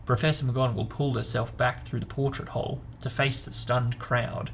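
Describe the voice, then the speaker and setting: Male host and reader, fry-heavy mid-range voice, relaxed book-club format, some background noise. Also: mid-range voice, normal speed. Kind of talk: storybook narration and casual book chat.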